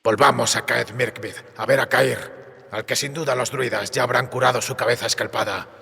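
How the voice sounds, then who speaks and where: An actor playing a gruff, bitter, world-weary monster hunter in an audiobook drama, with a dry, terse, serious delivery. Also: male voice, mid-range voice, steady tone, normal speed, pushed and bright, exaggerated voice. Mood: serious, resolved. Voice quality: gravelly, husky. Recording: cave-like reverb.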